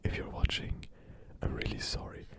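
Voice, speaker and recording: A man with a German accent doing a casual whispered ASMR monologue, gently and with pauses. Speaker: ASMR creator.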